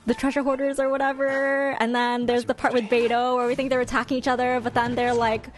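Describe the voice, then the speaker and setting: A woman's voice: fairly high pitch, little pitch movement, fairly quick, faint music behind. A woman giving casual, chatty reaction commentary as host, with a playful, exclamatory manner. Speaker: host ranking game trailers.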